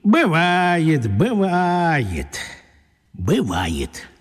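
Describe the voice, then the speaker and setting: An actor playing a small, sly, teasing old man with a creaky, husky voice and a drawling, playful way with words in a radio drama. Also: a little high, wildly swooping pitch, drawn-out words, exaggerated voice. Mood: mild, knowing. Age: older.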